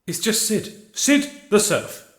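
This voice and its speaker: Man playing a blunt peasant, highish, animated voice. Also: takes it slowly, roomy sound, heavily acted. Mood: defensive. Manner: blunt, rough working-class speech. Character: blunt, aggrieved, lowly pig-farming peasant serf. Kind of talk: comedy audio drama acting.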